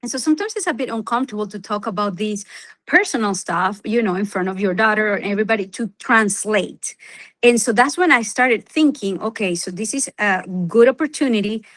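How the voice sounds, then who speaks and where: A woman's voice: medium pitch, animated, fairly quick, a bit bright. A middle-aged woman from Venezuela, a native Spanish speaker, answering interview questions as a physician, with many fillers and restarts. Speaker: OB/Gyn physician, guest. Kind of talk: conversational interview.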